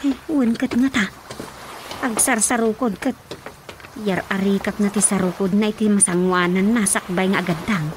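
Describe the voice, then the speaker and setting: An actress with an Ilocano accent playing an outspoken, gossipy wife in a comic radio drama. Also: female voice, medium pitch, fairly even intonation, moderate pace, noisy background, natural voice. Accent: Filipino, Ilocano. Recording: radio drama with music underneath.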